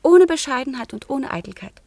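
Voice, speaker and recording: A proud young noblewoman in a radio drama. Her voice is fairly high and dryly recorded, earnest and composed as she probes. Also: expressive, a little fast, mellow, no room sound. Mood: earnest probing. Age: young adult. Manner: probing, composed, direct. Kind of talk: theatrical radio play acting.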